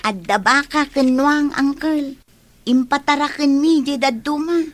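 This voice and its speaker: A woman's voice: sits high, unhurried, dry recording. A woman playing a young village niece in an Ilocano radio comedy drama, with an Ilocano accent. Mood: polite, explaining.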